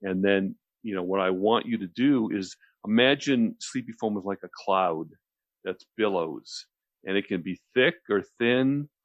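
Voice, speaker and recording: Deep-voiced man, talk radio. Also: unhurried, dry recording, lo-fi sound. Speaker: radio show host explaining relaxation technique. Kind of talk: conversational talk radio explanation.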